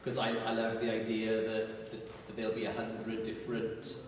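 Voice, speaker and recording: Man, lowish voice, thoughtful filmmaker at a Q&A, echoey hall, mic at a distance, room noise. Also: monotone, normal speed. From Wales. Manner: thoughtful, searching for words. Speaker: filmmaker answering audience questions. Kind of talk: live Q&A answers.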